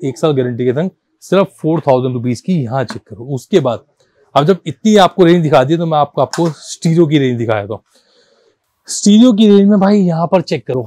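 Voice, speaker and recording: A man gives a casual, persuasive sales pitch as a shop seller, often repeating himself and mixing languages informally. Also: a little mellow, dry recording. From India. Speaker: car accessories shop seller and presenter.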